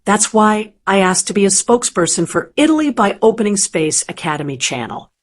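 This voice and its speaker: A woman's voice: medium pitch, big pitch swings, a little fast. Earnest woman with a Spanish accent. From Mexico. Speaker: channel spokesperson. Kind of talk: personal testimony.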